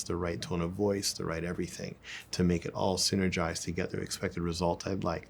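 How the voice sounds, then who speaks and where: Guy teaching sales stuff, lowish voice, informal, repeats phrases to drive it home. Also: vocal fry, a little hiss or hum. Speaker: sales pitch coach. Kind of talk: informal instructional talk with demonstrations.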